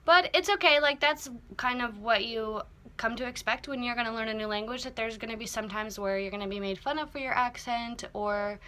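A young woman talks to her laptop camera in a cramped cabin. Her voice is bright and fairly high, and she rambles cheerfully with lots of fillers and lively asides. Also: a little fast. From United States. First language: English. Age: young adult. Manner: chatty, filler-heavy, animated. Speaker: vlogger. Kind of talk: casual vlog chat to camera. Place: small wood-panelled houseboat cabin indoors. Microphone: laptop camera at close range.

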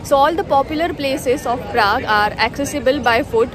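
A woman's voice: high voice, a little fast, crowd noise. A woman, narrating a casual travel vlog. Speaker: travel vlogger. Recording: music bed under the voice.

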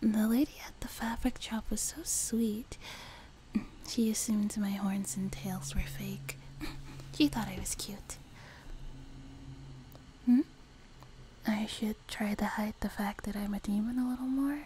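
Woman playing a slow, whispering sweet demon girl. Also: mid-range voice, steady tone, lightly acted. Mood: playful, smug. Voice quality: soft, breathy whisper. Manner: gentle, slow, teasing, intimate. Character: sweet, vain, teasing young demon girl, doting caretaker. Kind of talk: whispered ASMR roleplay. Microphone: close binaural microphone. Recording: close binaural mic, background hiss.

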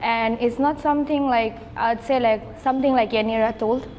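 A woman's voice: a little high, fairly even intonation, normal speed, darkish tone, long reverb tail, a little hiss or hum, low-quality recording. A young woman from Nepal, a student guest, chatting casually on a podcast in a café with many filler words. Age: young adult.

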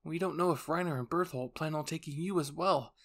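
Earnest young anime soldier man acting, careful and concerned, raised pitch. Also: a little fast, fairly bright tone.

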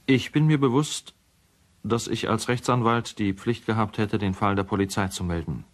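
An actor playing a sober, troubled male lawyer who gives a measured, formal account in a radio drama. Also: fairly low pitch, lightly acted. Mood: grave, troubled. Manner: measured, matter-of-fact, formal.

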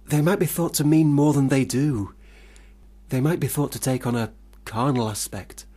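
An actor playing an earnest, prim, troubled young poet in a radio play, husky and formal in his phrasing. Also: mid-range voice, normal speed, mellow, natural voice. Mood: disapproving, earnest.

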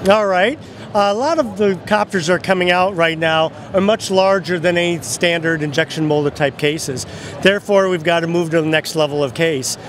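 A man's voice: high voice, normal speed, a bit bright. A man in his sixties casually explaining as an exhibitor in an interview, friendly, with frequent hesitations. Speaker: case company exhibitor. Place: exhibit booth in large arena. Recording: clear expo-hall background noise.

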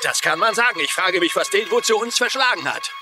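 A down-to-earth working man in a TV mystery. His voice sits a little high, wry and plain-spoken, with music behind. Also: lively intonation, a little fast. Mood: easygoing. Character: wry, down-to-earth male workmate in rough neighbourhood. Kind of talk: dubbed TV crime drama acting.

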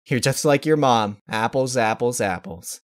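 A man's voice: medium pitch, a little slow, lightly acted. A man voicing a brash, fun-loving grown pony mare in a story reading, with a breezy, teasing manner. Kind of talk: dramatized fan-fiction reading.

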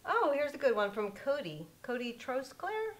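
A woman's voice: sits high, very animated, moderate pace. A woman in her fifties chatting casually beside her husband in a living room, prompting and correcting him as they read fan questions. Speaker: co-host and wife. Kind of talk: casual chat. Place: living room by a fireplace, sitting on the floor.

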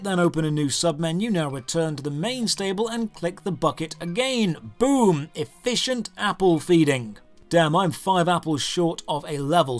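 High-pitched British man, sarcastic game reviewer. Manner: sarcastic, exasperated. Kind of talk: scripted video game review narration. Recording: music under the voice. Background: background music.

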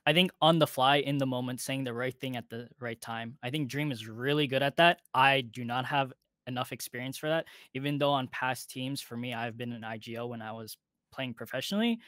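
A man's voice: medium pitch, no echo, clean studio sound. A man who coaches an esports team, answering interview questions thoughtfully and critically about himself. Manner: thoughtful, self-critical, says he is loud. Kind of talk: video call interview.

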